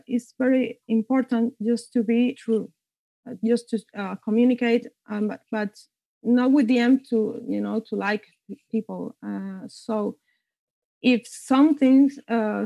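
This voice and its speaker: A woman composer from Cuba speaks in a fairly high voice, halting and searching for words as she reflects. The sound is dull and lo-fi, with a very dry, close feel. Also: creaky, mellow, dry recording. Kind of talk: reflective interview answers.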